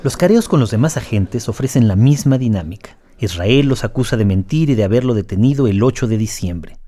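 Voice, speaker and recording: A man's voice: medium pitch, expressive, brisk, dark tone. A man reading scripted documentary narration as co-narrator of an audio series.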